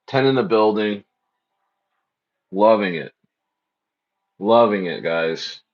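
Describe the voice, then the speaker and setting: A man's voice: on the low side, slow pace, lo-fi sound. Rambling man hosting a crypto stream. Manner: loose and repetitive, echoes phrases, reads chat aloud. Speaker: crypto livestream host. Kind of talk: casual livestream chat.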